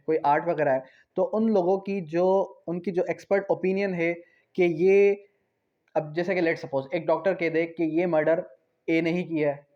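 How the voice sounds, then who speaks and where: A man's voice: sits high, a little mellow, dull audio. A man lecturing on law, explaining step by step, repeating phrases and answering his own questions. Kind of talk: lecture explaining statute with examples.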